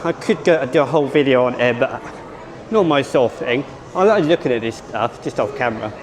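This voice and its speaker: A man vlogging at a theme park, rambling and unsure of himself, hoarse as he is losing his voice. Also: medium pitch, animated, a little fast, crowd noise. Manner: rambling, self-correcting, unsure. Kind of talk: casual vlog commentary.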